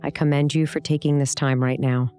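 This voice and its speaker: A meditation instructor guiding a scripted practice in a calm, soothing, gentle way, leaving long pauses. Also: mid-range voice, smooth, faint music behind.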